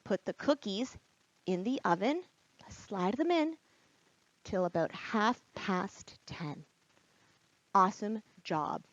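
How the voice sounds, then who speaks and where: A woman reading aloud at a children's storytime as a librarian, expressive and encouraging, speaking straight to young listeners. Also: medium pitch, takes it slowly, pauses often, dry recording. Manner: expressive, gasps and whispers for drama. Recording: dull, lo-fi sound.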